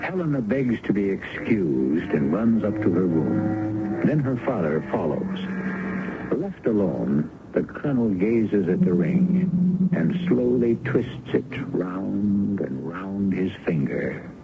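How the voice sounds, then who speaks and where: A man hosting a radio mystery, narrating in a measured, suspenseful, portentous style. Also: low voice, sing-song, gravelly. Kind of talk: dramatic scripted radio narration. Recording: muffled old radio recording, music.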